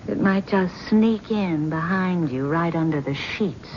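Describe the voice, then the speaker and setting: A bitter, mocking wife in a 1970s radio drama, played by an actress, with a sarcastic, needling edge. The sound is dull and lo-fi, like an old radio broadcast. A faint hiss runs underneath. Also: female voice, on the low side, unhurried, a little mellow, natural voice.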